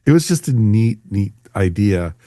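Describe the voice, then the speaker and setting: A man's voice: lowish voice, big pitch swings, measured pace, mellow, no echo, clean studio sound. A man co-hosting a podcast, chatting casually and enthusiastically in an informal fan review. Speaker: podcast co-host.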